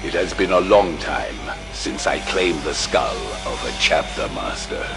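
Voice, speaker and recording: A hulking Chaos warrior with an extremely deep voice, speaking slowly and with taunting menace. His gravelly, sardonic delivery belongs to a dark audio drama, with prominent music underneath. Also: lively intonation, exaggerated voice.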